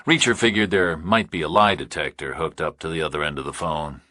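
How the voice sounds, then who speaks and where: A man's voice: very deep voice, brisk, bright, forceful tone, slightly rough, no room sound, studio quality. A man reading scripted thriller narration as an audiobook narrator.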